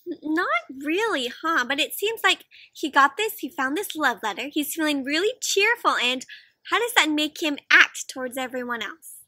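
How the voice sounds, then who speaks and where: A young adult woman doing an expressive, interactive picture-book read-aloud for children, asking lots of questions. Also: high voice, moderate pace, no echo. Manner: expressive, asks frequent questions, gasps. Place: outdoors in a fenced backyard.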